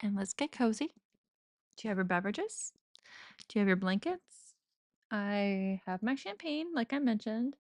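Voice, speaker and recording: A woman's voice: mid-range voice, lively intonation, normal speed, pauses often, no echo. A woman chatting casually while playing a cozy farming game, giggly and self-mocking, with a slightly stuffy nose. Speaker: gaming streamer. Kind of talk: casual let's-play commentary.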